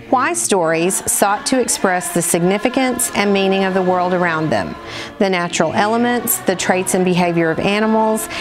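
A middle-aged woman with an American accent reads aloud as a storyteller for children, in a measured, instructional manner with careful enunciation. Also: mid-range voice. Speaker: storyteller, school program director. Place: indoors, seated at table before dark curtain.

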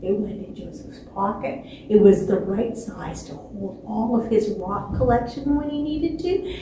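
Older woman storyteller in an echoey hall. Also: on the high side. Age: 60s. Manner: expressive, with dramatic pauses and repetition. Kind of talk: animated children's storytelling from memory. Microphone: distant camera microphone. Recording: echoey stage, distant mic, faint noise.

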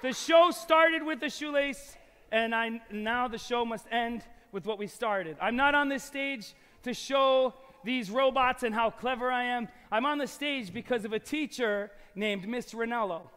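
A man performing a live family magic show, coaxing volunteers and the audience with lively energy in a large hall. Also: extremely high pitch, measured pace, clean tone. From New York. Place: large old church concert hall with audience. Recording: loud background music in a hall.

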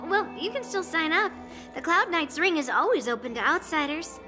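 A hot-tempered young swordswoman from a video game. Her voice is high and girlish. She talks in a cocky, blunt, casual way over clear background music. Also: big pitch swings, normal speed. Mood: curious, casual.

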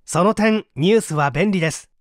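An audiobook narrator reading a self-help book aloud in a scripted, explanatory way with clear articulation. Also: mid-range voice, normal speed, no room sound, studio quality.

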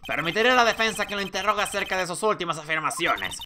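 A man's voice: sits high, lively intonation, quick pace, background noise. Man acting a solemn old courtroom judge. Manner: formal, declarative.

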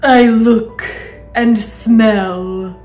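A woman voicing a vain, bossy, cruel, fat aunt in a storybook, in a theatrical, drawn-out, declaiming manner. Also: fairly high pitch, fairly even intonation, a little mellow, roomy sound, faint music behind. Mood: vain, gushing.

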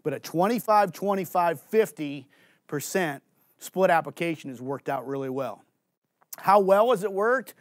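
A man in his forties, a farm agronomist, giving an energetic conference presentation on a studio stage through a headset mic, asking and answering his own questions. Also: on the high side, moderate pace, no echo. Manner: gestures and walks on stage while talking. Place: indoor studio stage with green curtains.

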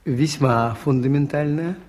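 An actor playing a mild, inquisitive priest detective in a Soviet radio play, with a hesitant, gently questioning manner. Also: male voice, on the high side, measured pace, close to an everyday voice. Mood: curious, mild. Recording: noisy 1980s radio play recording.

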